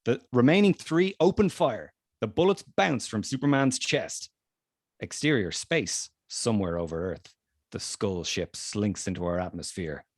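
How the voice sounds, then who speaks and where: A man's voice: fairly low pitch, animated, a little slow, no echo, clean studio sound. A man reading screenplay stage directions aloud as narrator in a scripted table read.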